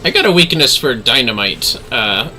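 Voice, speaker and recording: A man's voice: mid-range voice, big pitch swings, normal speed, sharp tone, faint music behind, rough recording. A man hosting a gaming livestream with casual, easygoing, chatty talk to his viewers. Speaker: livestreaming gamer host.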